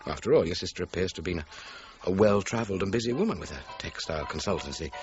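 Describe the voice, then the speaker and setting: An actor with a British accent playing a shrewd, probing chief inspector in a radio crime drama, questioning in a pointed, methodical way. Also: male voice, low voice, animated, rapid-fire, highs cut off, faint music behind.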